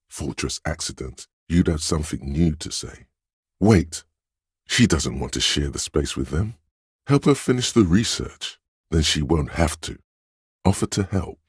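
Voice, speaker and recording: A man voicing a suave, coaxing inner voice of persuasion in a video game, with a husky, insinuating, knowing delivery. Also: rumbling low, a little mellow, no room sound, clean studio sound, full character voice. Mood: sly, wry, then coaxing, advising. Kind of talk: video game voice acting, advisory narration.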